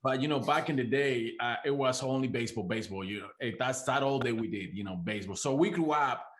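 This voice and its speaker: Venezuelan guy hosting a podcast, accent, eager, repeats himself a lot, mid pitch. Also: quick pace, fairly bright tone. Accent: Venezuelan Spanish. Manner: enthusiastic, repeats words, laughs often. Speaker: podcast host. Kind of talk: casual interview.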